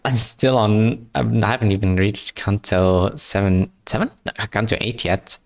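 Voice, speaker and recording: A man's voice: on the low side, a little slow, no room sound. A man streaming live, chatting casually with viewers in English, which is not his first language, often stumbling and repeating words and joking at his own expense. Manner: stumbles and repeats words, answers chat with joking self-mockery.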